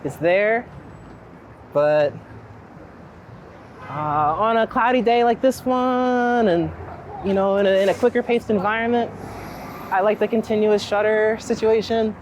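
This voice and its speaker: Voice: mid-range voice, measured pace, long pauses. An American from Philadelphia chatting casually in a wry, rambling, thoughtful way while out on a walk. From Philadelphia, United States. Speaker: street photographer being interviewed. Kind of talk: casual chat, walking interview. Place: outdoors on city streets. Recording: outdoor city street noise, traffic. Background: street ambience, passing vehicles.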